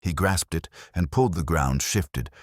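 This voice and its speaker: A man narrating a scripted mystery story as an audiobook, in a measured, literary and solemn manner. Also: very deep voice, dry recording, clean studio sound.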